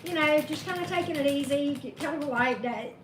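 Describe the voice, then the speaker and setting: High-pitched, slightly hoarse woman, chatty. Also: normal speed. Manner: chatty, greets viewers by name, laughs at own corny jokes. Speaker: tarot reader. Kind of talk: casual live-stream chat. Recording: echoey room with steady background noise.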